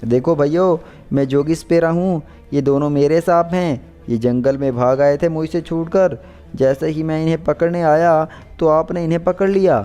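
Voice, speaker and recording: A man playing an eager, earnest snake charmer in an animated folk story. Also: on the high side, moderate pace, clean tone, faint music behind, voice pushed up, natural voice. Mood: earnest pleading. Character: eager, money-hungry male snake charmer, softening for family.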